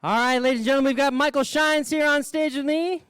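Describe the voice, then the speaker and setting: A man's voice: extremely high pitch, steady tone, fast talker, a bit bright, dry recording. A man hosting a radio variety show, chatting casually and effusively as he interviews a guest on stage.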